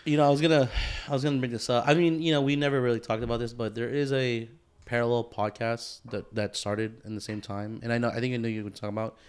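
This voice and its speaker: A young man co-hosting a podcast in relaxed conversation. He rambles, restarts his thoughts and leans on filler words, with an easy profane streak. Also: mid-range voice, quick pace, clear, resonant tone. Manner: rambling, heavy filler words, frequent swearing. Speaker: podcast co-host. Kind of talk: casual chat.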